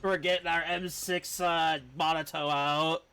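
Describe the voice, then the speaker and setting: A man's voice: a little high, little pitch movement, measured pace, pushed and bright, clean tone. A man giving casual live commentary as he streams a video game. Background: game music.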